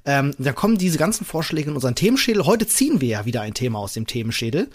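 A man's voice: medium pitch, sing-song, fast talker. A man from Berlin co-hosting a podcast, chatting casually in an upbeat way and laughing often. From Berlin, Germany. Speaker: podcast co-host. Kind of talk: casual chat.

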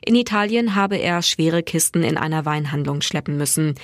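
A woman reads news items in a steady, clear voice. Her pitch sits a bit low. The studio sound is dry and close, with no room echo. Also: moderate pace. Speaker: news reader. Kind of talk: scripted news narration.

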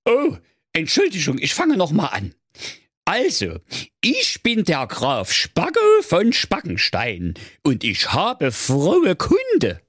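A man's voice: sits high, wildly swooping pitch, measured pace, husky, dry recording, clean studio sound, pitched above the performer's own voice, exaggerated voice. A man voicing an eager, bumbling young count who talks overly formally. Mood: flustered.

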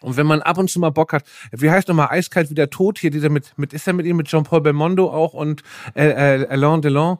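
Male podcast co-host from Germany, middle-aged, lightly raised pitch, loose rambling chat, dry studio-close sound. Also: steady tone, fast, no echo. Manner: informal, rambling, slangy. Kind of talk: casual chat.

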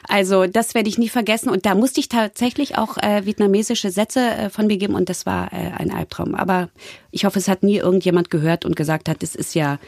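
Gravelly-voiced woman telling stories. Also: medium pitch, fairly quick, dry recording, clean studio sound. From Sweden. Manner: lively storyteller, mimics voices and accents. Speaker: voice actress, guest. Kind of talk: casual interview chat.

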